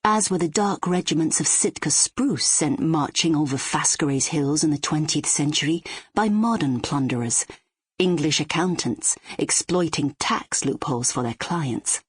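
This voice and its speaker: Low-pitched Scottish woman narrating, close and dry. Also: husky, no room sound. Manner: measured, descriptive reading. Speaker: audiobook narrator. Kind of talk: scripted literary narration.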